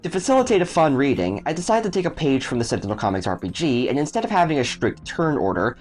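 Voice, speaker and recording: A man delivers scripted video narration with a very lively, swinging intonation over a music bed. His pitch sits in a middle range, and he explains things step by step as a creator laying out his method. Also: quick pace.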